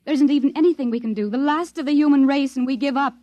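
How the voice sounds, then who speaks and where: Actress as high-voiced, earnest woman survivor. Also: quick pace, dark tone, no room sound, close to an everyday voice. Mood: bitter, despairing. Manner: dramatic, emotionally intense. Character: earnest, anxious woman, last survivor of humanity. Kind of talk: 1950s radio drama acting.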